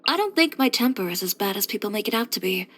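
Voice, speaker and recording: A self-assured young boutique tailor from a video game. She is blunt and headstrong yet composed, speaking in a mid-pitched voice with a matter-of-fact, dryly confident delivery. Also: expressive, fast talker, a bit bright. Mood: frank, defiant. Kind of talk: video game character voice lines.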